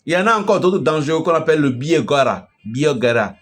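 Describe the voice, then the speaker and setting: Beninese french-accented guy preaching hard, telling a story, voice jumps up loud at big moments, soft music under him. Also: on the high side, lively intonation, a little fast. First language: a local Beninese language. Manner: emphatic, storytelling, rising loudly at climaxes, with restarts. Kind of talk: impassioned preaching message addressed to a pastor.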